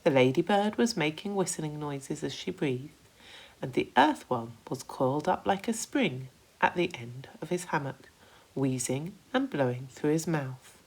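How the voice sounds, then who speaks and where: Low-voiced, slow, expressive woman storyteller. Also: slightly rough, rough recording. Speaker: primary school teacher reading aloud. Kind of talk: expressive children's story narration.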